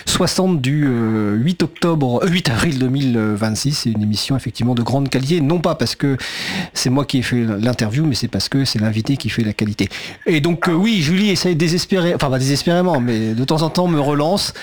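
A man's voice: medium pitch, animated, brisk. Male radio host, casual, filler-heavy announcing. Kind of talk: community radio hosting.

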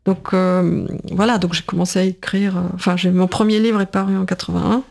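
A woman's voice: lowish voice, level tone, a little fast, vocal fry, a little mellow. A woman in her sixties, a writer and translator, speaks as a guest in a radio interview, thinking aloud with many fillers and restarts. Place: radio studio.

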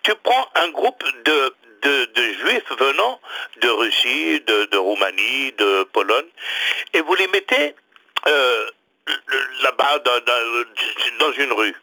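A man's voice: mid-range voice, expressive, takes it slowly, pushed and bright, rough recording. A man in a heated radio debate, pressing his point with repeated questions. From not from France.

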